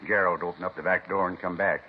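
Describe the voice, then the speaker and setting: An actor playing an earnest, simple, good-natured butcher in a 1950s radio police drama, plain-spoken with folksy, ungrammatical speech. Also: male voice, sits low, level tone, fast, natural voice. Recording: muffled, hissy 1950s radio recording.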